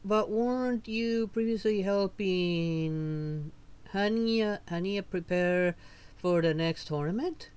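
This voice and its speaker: A streamer voicing a chatty, excitable small female game companion, exclaiming and asking questions. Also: mid-range voice, slow, clear, resonant tone, pitched above the performer's own voice, natural voice. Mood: curious. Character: chatty, excitable small companion who refers to herself by name. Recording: noticeable background noise.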